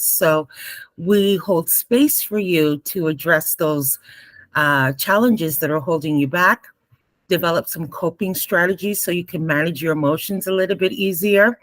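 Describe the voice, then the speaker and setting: A woman's voice: on the low side, no room sound. A woman psychotherapist giving a composed, polished introduction of her practice over a video call. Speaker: psychotherapist guest.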